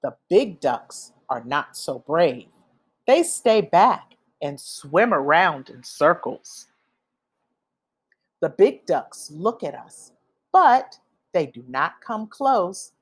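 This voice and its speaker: A woman reading a children's picture book aloud, with expressive, deliberate phrasing for young listeners. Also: on the low side, breathy edge. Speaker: read-aloud storyteller.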